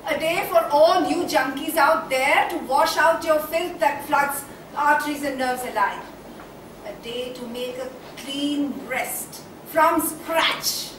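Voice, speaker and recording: Casting: actress playing soul-baring Indian woman in stage soliloquy, high voice, slow declamatory delivery, heavy room echo. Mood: solemn, reflective. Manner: declamatory, deliberate, with dramatic pauses and repetitions. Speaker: stage actor. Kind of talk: theatrical dramatic monologue. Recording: very echoey stage, distant mic, faint noise.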